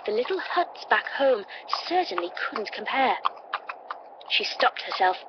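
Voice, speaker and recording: Lady reading a story out loud like an audiobook, kinda lo-fi and noisy. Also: a little high, sing-song, unhurried. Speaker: audiobook narrator. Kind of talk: scripted story narration. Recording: dull, noisy playback through computer.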